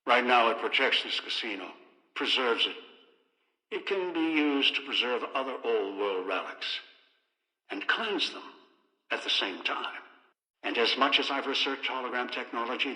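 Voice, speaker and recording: An actor playing a bitter, scheming old man in a video game, speaking in clipped, deliberate, menacing phrases. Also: mid-range voice, sharp tone. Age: older. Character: bitter, scheming old man obsessed with pre-war technology. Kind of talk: video game character dialogue. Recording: echoey, long reverb.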